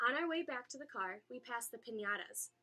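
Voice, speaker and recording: A woman reading a children's story aloud in an expressive, animated way, acting out the dialogue. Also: fairly high pitch, fairly quick. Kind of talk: expressive read-aloud narration.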